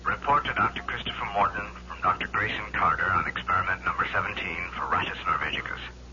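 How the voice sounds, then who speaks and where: A man's voice: sits low, fast talker, sharp tone. An actor playing an earnest male scientist in a 1970s radio drama. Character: earnest male scientist reporting on rat research. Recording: dull, noisy old radio recording.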